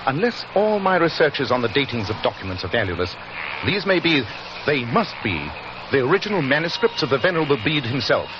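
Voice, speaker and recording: Male actor as British gentleman detective, incisive, very animated delivery, long reverb, muffled old radio recording. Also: medium pitch, brisk, background noise, close to an everyday voice. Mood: triumphant. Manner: precise, confident, deductive. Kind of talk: 1940s radio acting.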